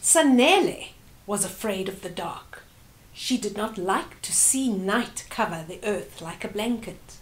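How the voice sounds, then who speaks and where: A woman's voice: mid-range voice, expressive, a little slow. An older woman hosting a story time, reading aloud expressively and explaining things to young children.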